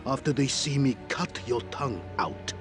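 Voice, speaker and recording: A man's voice: mid-range voice, lively intonation, moderate pace, close to an everyday voice. A man playing a sadistic, gloating crime boss in a video game, menacing and theatrical as he savours his threats. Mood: threatening. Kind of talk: cinematic video game villain acting. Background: music.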